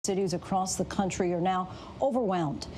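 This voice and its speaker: A woman's voice: lowish voice, moderate pace, a little mellow. A woman delivering a scripted news report as a reporter.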